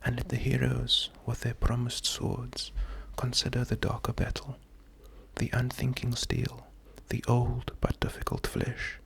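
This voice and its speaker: A man speaks in a hushed, breathy ASMR style with heavy vocal fry. His delivery is slow and calming, with a flat, even melody that stays gentle and unhurried throughout. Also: medium pitch. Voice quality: breathy, creaky, half-whispered. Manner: gentle, unhurried, calming, often repeating words. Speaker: ASMR host. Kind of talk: slow soft-spoken ASMR poetry reading.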